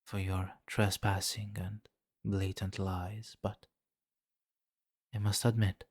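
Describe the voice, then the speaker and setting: A deep-voiced vampire king played by a man speaks slowly and closely in a dry, intimate ASMR roleplay. He is imperious and seductive, teasing with soft menace and condescending amusement. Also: flat delivery, husky, no room sound. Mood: amused, smug. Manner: slow, commanding, teasing, softly menacing. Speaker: roleplay voice performer.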